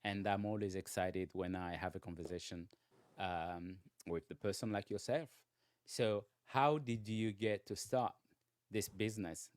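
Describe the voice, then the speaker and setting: A man hosting an interview show in a conversational way, often halting and repeating his phrases. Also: fairly low pitch, dry recording. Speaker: talk show host interviewing a guest.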